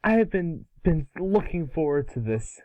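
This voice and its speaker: Cartoon kid hero voiced by a man: higher-pitched, brash, whiny, impatient schoolboy. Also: sing-song, moderate pace, phone-quality sound. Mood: whiny indignation.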